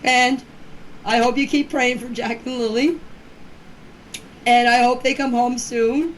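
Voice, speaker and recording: A middle-aged woman speaks in a fairly high voice at a very slow pace. She is plainspoken and earnest, leaning on her points and repeating them. It sounds like an informal phone voice message with some faint noise behind her. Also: fairly even intonation, low-quality recording. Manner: plainspoken, earnest, emphatic, repeats herself for stress. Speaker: community member speaking about a case.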